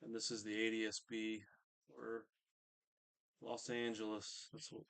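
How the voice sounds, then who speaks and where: A man's voice: lowish voice, monotone, measured pace, creaky, fairly bright tone, no echo. A man hosting a live stream in a casual, distracted, muttering way.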